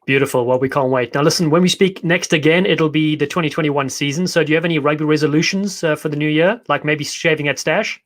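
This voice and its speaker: Male host, upbeat, chatty, fairly high voice, friendly banter. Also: steady tone, fast talker, few pauses. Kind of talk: casual live show interview.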